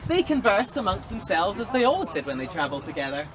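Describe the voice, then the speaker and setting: A storyteller narrating a pirate tale live outdoors, quick and playful. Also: medium pitch, very animated, low-quality recording. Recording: outdoor street noise.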